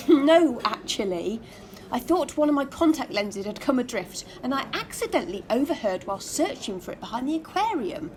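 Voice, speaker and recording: A woman's voice: high voice, very animated, moderate pace, a little mellow. A woman playing a genteel, gossipy hotel receptionist in an audio drama, prim and coy. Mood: coy gossiping.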